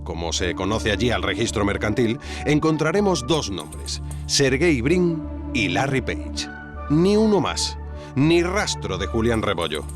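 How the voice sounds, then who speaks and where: A man playing a wry investigative narrator, reading scripted documentary narration in an audio drama. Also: lowish voice. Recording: faint music bed.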